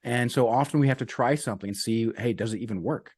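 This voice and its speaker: A man's voice: mid-range voice, fast talker, a little mellow, breathy edge, no echo. A man talking as a coach guest in a casual interview, earnest, repeating his key sayings and dropping "man" and "you know" as he goes. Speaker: business and life coach guest.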